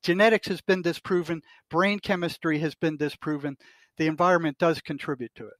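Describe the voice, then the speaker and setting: Older man, high-pitched and bluntly indignant. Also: fairly even intonation, a little fast, a bit bright, no echo. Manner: indignant, blunt, emphatic, mild swearing. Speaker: retired psychotherapist, podcast guest. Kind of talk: opinionated discussion, quoting articles aloud.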